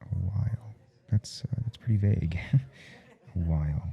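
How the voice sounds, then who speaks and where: A man playing a nervous, smitten professor in an intimate ASMR roleplay, husky and halting, stammering coyly as he speaks. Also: muffled recording, full character voice. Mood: anxious, hesitant. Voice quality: husky, dark low murmur. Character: nervous, smitten male professor confessing forbidden attraction. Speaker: audio roleplay performer.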